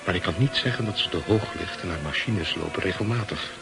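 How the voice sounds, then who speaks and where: A man's voice: sits low, a little fast, vocal fry, music in the background. An actor playing a brooding, terse ship's first mate who narrates his story in a Dutch radio play. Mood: uneasy, reflective. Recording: hissy old radio recording.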